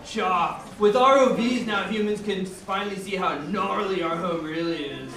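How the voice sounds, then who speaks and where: A slangy deep-sea dude creature from a puppet show, voiced by a man, pitched high for a male. The voice carries from far off through a noisy, echoey hall. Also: normal speed. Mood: excited, laid-back. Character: laid-back surfer-slang deep-sea creature with hairy claws. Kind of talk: live children's puppet show acting. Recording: echoey hall, distant mic, noisy room.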